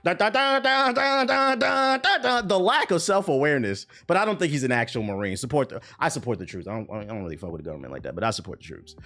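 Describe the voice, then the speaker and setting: A young male streamer with a fairly high voice that swings up and down a lot, riffing and joking in a loose, animated way. His talk drops into low mutters between bursts, with faint music playing softly behind him. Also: fast talker, few pauses. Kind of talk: livestream reaction commentary. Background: faint background music.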